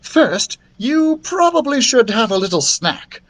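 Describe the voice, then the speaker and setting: A man voicing a bossy, excitable young princess in a storybook, commanding and emphatic. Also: very high-pitched, a little slow, pitched above the performer's own voice, heavily acted. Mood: confident.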